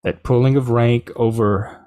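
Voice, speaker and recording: A middle-aged man from South Louisiana with a Cajun English accent, telling a personal story in a casual, animated way. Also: medium pitch, a little slow, smooth, no room sound. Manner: animated, quoting others in reported dialogue. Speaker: podcast host.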